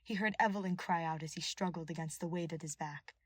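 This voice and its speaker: A woman narrates dramatic fiction in a scripted audiobook style. Her voice is husky and sits a little low, recorded very dry. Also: fast talker, no echo.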